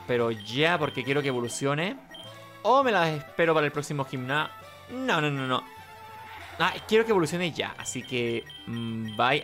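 A man's voice: medium pitch, a bit bright. A man giving casual, rambling let's play commentary, talking to himself. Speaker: gaming host.

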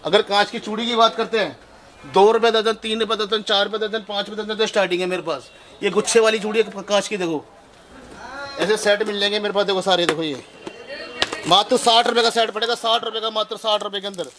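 A man in his thirties, a wholesale shop owner, giving a sales pitch and rattling off prices with rapid, repetitive counting in a small shop. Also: fairly even intonation, a bit bright. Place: small indoor shop crowded with shelves. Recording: noisy shop recording.

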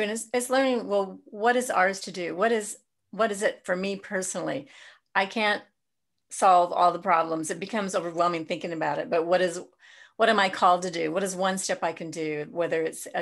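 A woman in her sixties hosting a video call interview, speaking thoughtfully and haltingly with many pauses and ums. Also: fairly low pitch, creaky. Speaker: host. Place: home room with bookshelves. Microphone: video call webcam.

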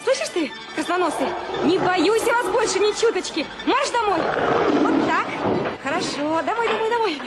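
A woman's voice: extremely high pitch, lively intonation, moderate pace. An actress playing a feisty, fearless young woman with a bossy, emphatic manner in a Russian radio play. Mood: bossy, scolding. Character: feisty, fearless young niece taming tigers. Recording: loud music and crowd sounds behind.

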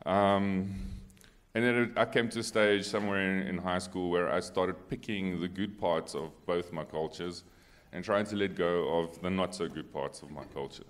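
A low-voiced South African man in middle age, whose first language is Afrikaans, speaks on a panel. He tells his own story in a casual way into a handheld microphone on stage. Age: middle-aged. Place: on stage in a church hall.

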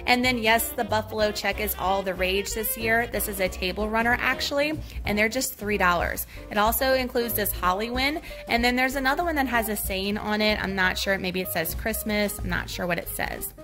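A woman's voice: mid-range voice, moderate pace, hardly any pauses, over music. A woman with a Southern US accent, chatting casually through a shopping vlog, upbeat as she points out items and prices, recorded on a handheld phone in a big store. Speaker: shopping vlogger. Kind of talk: casual shop-with-me narration. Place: large retail store, indoors. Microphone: handheld phone camera.

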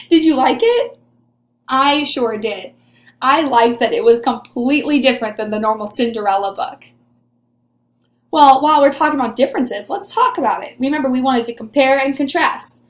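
High-voiced young woman teaching small children. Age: 20s. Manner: encouraging, asks questions and answers them as if pupils replied. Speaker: early elementary teacher. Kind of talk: animated read-aloud lesson for young children. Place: small room indoors. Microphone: webcam or camera at desk distance. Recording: echoey room, mic at desk distance.

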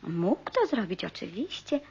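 An actress playing a calculating, seductive nurse and mistress in a radio drama, coaxing and quietly ruthless. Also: female voice, mid-range voice, very animated, unhurried, gravelly, husky, heavily acted. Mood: gloating, calculating. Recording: dull, muffled radio play recording.

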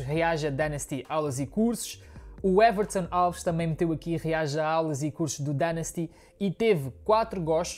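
A man's voice: fairly high pitch, darkish tone. A man in his thirties from Angola, raised in Portugal, with a European Portuguese accent, chatting to viewers in a rambling way as a music reaction host. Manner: chatty, rambling, fond of "né, pessoal". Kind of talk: casual chat to viewers. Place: living room, on a sofa, indoors.

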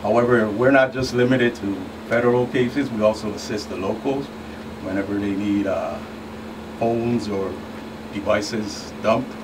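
A man's voice: on the low side, fairly even intonation, unhurried. A middle-aged man, a forensic agent guest, explaining his work in a radio interview. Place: small radio studio. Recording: distant mic, clear room hum.

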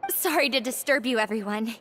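Woman acting a high, polite young sweetheart. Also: fairly quick, heavy background noise, close to an everyday voice. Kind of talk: video game character acting. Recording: music behind the voice.

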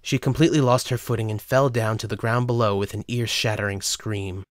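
A man narrates a dramatic story from a script. His voice is fairly low and the recording is dry and close. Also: steady tone, a little fast, dry recording.

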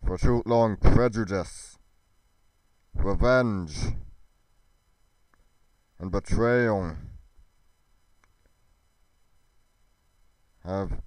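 Righteous male god for game roleplay, man acting, grave, declamatory, smooth low voice. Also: darkish tone, no echo. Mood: resolute. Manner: solemn, declamatory, drawn-out. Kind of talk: amateur scripted roleplay.

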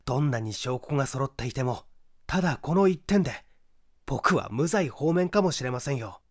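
A man's voice: mid-range voice, expressive, normal speed, pitched below the performer's own voice, close to an everyday voice. A man voicing a cornered young man in a mystery audiobook, feigning composure with formal, theatrical defiance. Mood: defiant. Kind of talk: dramatic audiobook character acting.

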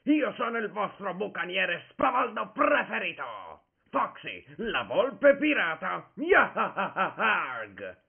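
Swaggering cartoon pirate fox mascot, high gravelly voice, boisterous, very animated delivery. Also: male voice, slow, phone-quality sound, heavily acted. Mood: swaggering, excited. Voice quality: raspy, gravelly. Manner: boisterous, theatrical, addressing children. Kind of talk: children's show mascot performance, fan dub.

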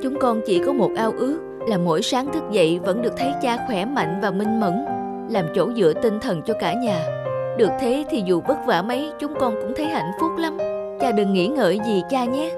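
An actor voicing a devoted, respectful grown son, a poor village farmer, in an animated film. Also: medium pitch, moderate pace, natural voice. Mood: emotional, pleading. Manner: respectful, earnest. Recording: music bed behind the voice.

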